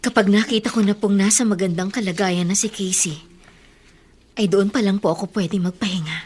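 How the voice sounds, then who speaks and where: A woman's voice: mid-range voice, steady tone, a little fast, a little mellow, husky, lightly acted. A woman playing an earnest, stubborn, hardworking young niece in a radio drama. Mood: earnest, insistent. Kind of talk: Tagalog radio drama acting.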